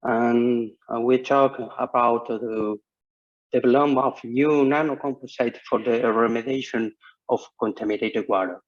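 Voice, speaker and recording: Slow, halting Argentine man on a call. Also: mid-range voice, steady tone. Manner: hesitant, halting non-native English with many restarts. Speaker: researcher giving a conference talk. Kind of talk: online conference lecture. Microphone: video call. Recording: muffled video-call audio.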